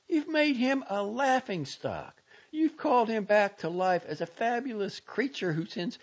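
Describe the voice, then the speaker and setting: A man's voice: mid-range voice, wildly swooping pitch, takes it slowly, gravelly, a little mellow, slightly rough, lo-fi sound, pitched above the performer's own voice, exaggerated voice. A man voicing a furious, fiery young woman in a storybook, scolding scornfully in slangy bursts. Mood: furious, mocking.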